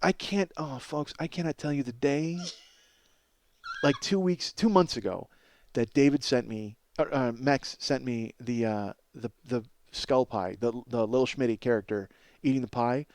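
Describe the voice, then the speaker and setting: A middle-aged man hosting a comedy podcast with a rambling, excitable monologue, self-mocking and profane, often stammering over repeated words. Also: medium pitch, unhurried, no room sound.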